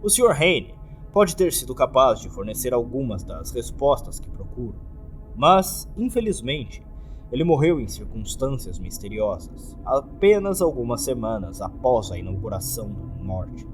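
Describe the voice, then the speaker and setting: A Brazilian man voicing a gloomy, courteous hotel keeper in a formal, measured, scripted horror narration. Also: mid-range voice, very animated, natural voice, rough recording. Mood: ominous, formal. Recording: music under the voice.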